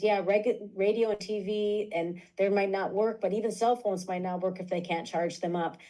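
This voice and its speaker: A woman gives an academic talk over a video call, laying out ideas and backing them with field stories. Her voice is on the low side and mellow. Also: low-quality recording. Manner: explanatory, illustrates points with stories. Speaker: guest lecturer. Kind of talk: academic lecture and Q&A answer.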